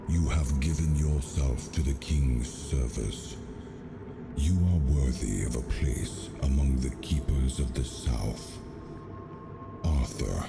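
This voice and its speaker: An ancient, solemn guardian spirit from a fantasy game, extremely slow and weighty in delivery. The voice is abyssally low and speaks in grave, old-fashioned phrases, with loud music swelling behind it. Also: male voice, gravelly, exaggerated voice. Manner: solemn, archaic, portentous phrasing. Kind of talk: fantasy video game voice acting.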